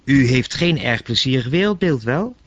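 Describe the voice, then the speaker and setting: Radio drama: male actor as everyday man out of his depth, sceptical and uneasy, lively intonation, slightly muffled recording. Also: mid-range voice, moderate pace, natural voice. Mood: probing. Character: bewildered, uneasy ordinary man questioning a mysterious voice. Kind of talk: naturalistic radio drama dialogue.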